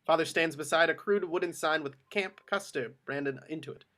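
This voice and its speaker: A man's voice: fairly high pitch, moderate pace, sharp tone. A man narrating the action of a film script at a table read. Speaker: stage-direction reader.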